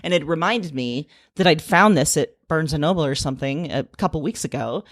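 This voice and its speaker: Co-host, woman, forties, low and animated, dry close sound, chatty. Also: a little fast, dry recording. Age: 40s. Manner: chatty, laughing easily, animated. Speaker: podcast co-host. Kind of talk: casual chat.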